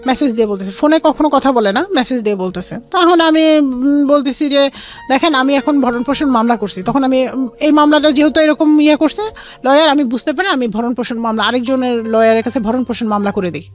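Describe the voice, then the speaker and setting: A woman's voice: high-pitched, a little fast, faint music behind. A young woman with a Bangladeshi accent tells her life story in an interview, quoting conversations and mixing in English words.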